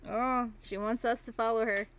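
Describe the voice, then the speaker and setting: Blunt, higher-voiced woman streamer. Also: steady tone, normal speed, a bit bright. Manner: blunt, sweary. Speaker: game streamer. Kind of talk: casual live stream reactions.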